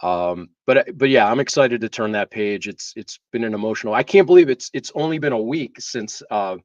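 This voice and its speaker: A man hosting a live talk show, speaking in a hesitant way with drawn-out pauses. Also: mid-range voice, lively intonation. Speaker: show host. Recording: dull, roomy, distant microphone.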